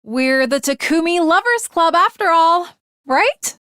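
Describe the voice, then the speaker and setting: A woman's voice: very high-pitched, expressive, unhurried, bright, forceful tone, no echo, studio quality, heavily acted. A woman voicing an earnest, lovestruck teenage girl swordswoman in an anime video game, with formal, archaic, dramatic diction. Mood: playful, insistent.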